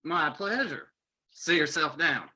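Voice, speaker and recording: Performer as a husky, boisterous male prospector. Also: deep voice, lively intonation, measured pace, sharp tone, full character voice. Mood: flirtatious, jovial. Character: boisterous, flirtatious male gold prospector named George. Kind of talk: old-time radio drama reading.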